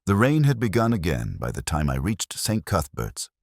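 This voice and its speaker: A man with an extremely low voice narrates a detective story in a solemn, literary way. His delivery is measured, yet the pitch moves about with real variety. The sound is close and dry. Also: wildly swooping pitch, a little mellow, no room sound. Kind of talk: scripted first-person detective narration.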